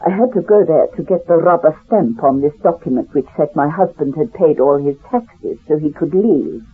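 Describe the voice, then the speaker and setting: An elderly Polish-accented refugee woman, wry and rambling, lively high pitch swings, heard on a tinny old radio tape. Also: moderate pace, husky, exaggerated voice. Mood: reminiscing. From Poland. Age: older. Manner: rambling, addresses listener directly, laughs at herself. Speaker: storyteller recounting her past. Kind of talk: 1970s radio drama monologue.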